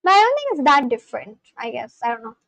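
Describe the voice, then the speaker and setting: A young woman whose first language is Bengali, chatting casually on a live stream, reading out viewers' comments and getting excited. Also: high voice, quick pace, creaky, no echo. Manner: excitable, gasps and exclaims. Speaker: live streamer learning Spanish.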